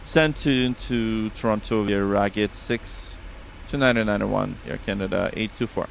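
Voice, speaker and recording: Relaxed Russian-accented man streaming. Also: lowish voice, normal speed, vocal fry, a little hiss or hum. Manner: relaxed, chatty, reads back radio calls. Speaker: flight sim streamer. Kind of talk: casual live-stream chat with radio calls.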